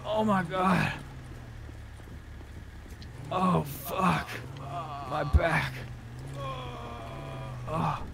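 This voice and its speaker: A man's voice: a little high, very slow, pauses often, crowd noise. A man chatting casually in a roleplay game, with folksy phrasing and some mild swearing. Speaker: player in a roleplay game session. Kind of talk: casual in-character gaming chat. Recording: clear vehicle and game noise behind. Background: game ambience and car engine.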